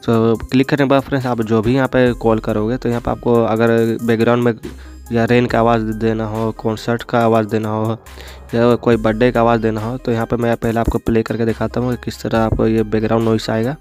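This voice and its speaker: A young adult man presents a tutorial over faint background music. His delivery is even and barely varies in pitch. He explains each step in an instructional way, returning to the same set phrases. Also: fairly quick. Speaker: tech tutorial video host. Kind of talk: step-by-step app tutorial narration. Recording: music bed behind voice.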